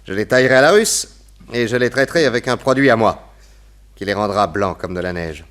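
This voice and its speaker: A man plays a shrewd detective in a French radio drama. His voice sits on the low side, and he lays things out with confident, theatrical poise. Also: brisk, a bit bright, lightly acted. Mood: confident, amused. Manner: assured, explanatory, theatrical delivery. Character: shrewd, self-assured male detective planning his disguise.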